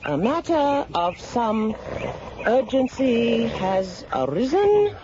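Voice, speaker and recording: A woman playing a haughty queen in a radio drama, regal, deliberate and pompous. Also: on the high side, big pitch swings. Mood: imperious. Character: haughty, imperious British queen. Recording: muffled, noisy radio recording.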